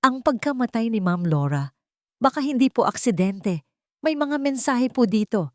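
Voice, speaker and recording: A woman's voice: fairly high pitch, sing-song, normal speed, no room sound, studio quality, voice pushed up. A woman voicing a frightened, respectful housemaid pleading desperately in an audio love drama. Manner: desperate, deferential.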